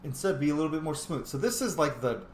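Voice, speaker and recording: A man casually commenting on a role-playing game and reading dialogue choices aloud, with animated, jokey asides. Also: fairly high pitch, fast. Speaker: gaming host, role-player. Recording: echoey room, faint background noise.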